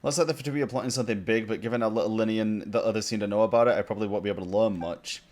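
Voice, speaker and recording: A man's voice: lowish voice, fairly even intonation, very fast, a little mellow. A man giving casual reaction commentary as he plays a game, musing aloud and swearing casually. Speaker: gaming video creator.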